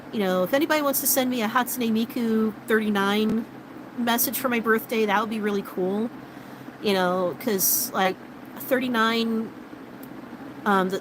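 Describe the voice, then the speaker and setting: A woman's voice: on the high side, background noise. A woman in her late thirties chatting casually and rambling with viewers, many fillers, her voice starting to wear out. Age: 30s. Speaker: vlogger. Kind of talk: casual chat with live viewers.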